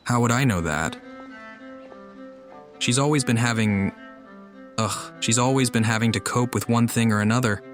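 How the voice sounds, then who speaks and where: A performer voicing a quiet traveler in a video game who talks in a laconic, dry way. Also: mid-range voice, normal speed, pauses often, music in the background, close to an everyday voice.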